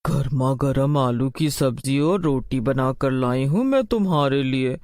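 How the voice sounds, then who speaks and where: A woman voicing an eerie, vengeful female witch ghost in a cartoon horror story, slow and taunting. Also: extremely low pitch, lively intonation, a little mellow, no room sound, studio quality, pitched below the performer's own voice.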